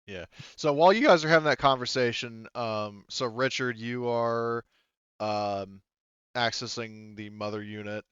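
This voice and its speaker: A man running a tabletop game as game master, giving casual rulings and narration in a halting way, thinking aloud. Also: mid-range voice, fairly bright tone, clean tone, no echo, highs cut off.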